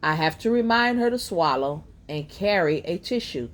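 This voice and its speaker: A woman voicing a gentle, patient mother explaining things in a children's storybook. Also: lowish voice, animated, moderate pace, natural voice. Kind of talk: storybook character voice.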